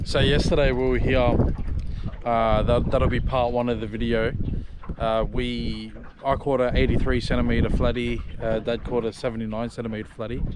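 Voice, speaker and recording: A man's voice: mid-range voice, level tone, normal speed. An Australian man in his thirties, narrating a fishing trip to an action camera on a small boat, chatty and upbeat. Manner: upbeat, chatty, exclaims at the catch. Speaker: fishing vlogger. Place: small boat on an open inlet, outdoors. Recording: outdoor recording with wind and water noise. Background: water, wind and boat noise.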